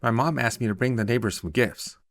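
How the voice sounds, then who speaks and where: A man's voice: medium pitch, brisk, no echo, voice pushed up, lightly acted. A man voicing a cheerful, polite and chatty little girl for a short story, bubbly and earnest. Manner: bubbly, earnest, quotes mama's sayings.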